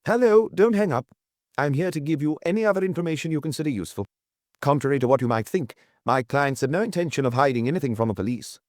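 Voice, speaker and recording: A man acts out a brisk male lawyer for an audiobook phone scene. He is formal and guarded, with an insistent, officious edge. The pitch is slightly raised and the sound is close and dry. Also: expressive, no echo, lightly acted. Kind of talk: audiobook character dialogue.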